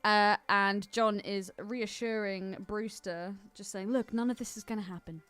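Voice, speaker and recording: A woman's voice: medium pitch, steady tone, unhurried, fairly bright tone, smooth, no room sound. A woman co-hosting a podcast with teasing, sweary, casual banter. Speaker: podcast co-host.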